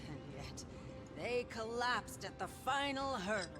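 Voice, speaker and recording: A woman's voice: on the high side, lively intonation, measured pace, music in the background. A woman playing a haughty, cruel trial announcer in a video game, theatrical and grandiose with a mocking edge. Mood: grandiose, mock pity. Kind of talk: video game character dialogue.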